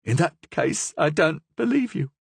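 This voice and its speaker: A man voicing a half-mad, starving woman prisoner who cries out in desperate, shrill pleas. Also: big pitch swings, a little slow, vocal fry, husky, no room sound, exaggerated voice. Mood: frantic pleading.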